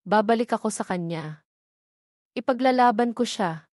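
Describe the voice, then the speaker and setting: A woman's voice: fairly high pitch, normal speed, a little mellow, dry recording, close to an everyday voice. Woman acting young male romantic lead, breezy, determined, audio drama, dry sound. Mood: firm, determined. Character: breezy, determined young man, engineer son.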